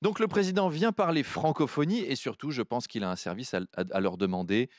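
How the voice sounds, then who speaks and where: A man in his thirties reading a mocking satirical comedy column in a studio, talking quickly with a playful, teasing delivery on a close desk mic. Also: mid-range voice, no room sound. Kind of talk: satirical comedy chronicle read on air. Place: TV-radio studio. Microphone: desk microphone on a boom, close.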